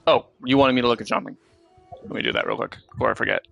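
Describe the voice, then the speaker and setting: A man's voice: mid-range voice, wildly swooping pitch, racing, creaky, a bit bright, no echo, lo-fi sound, soft background music. A man streaming a game and chatting casually as he plays. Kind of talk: casual gameplay chat.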